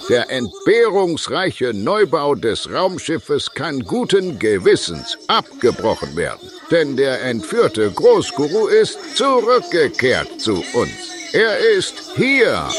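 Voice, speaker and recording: A man's voice: fairly high pitch, wildly swooping pitch, slow, soft background music, exaggerated voice. A man playing a pompous, easily flustered guru who addresses his followers in a declamatory, mock-solemn way in a comedic radio play. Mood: solemn, proclaiming. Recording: loud crowd behind the voice.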